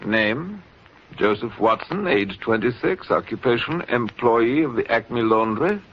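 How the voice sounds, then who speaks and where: A man's voice: medium pitch, expressive, a little slow, natural voice. An actor playing a controlled, sinister male doctor, formal and precise, in a 1940s radio play. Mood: coolly menacing. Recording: muffled, noisy vintage radio recording.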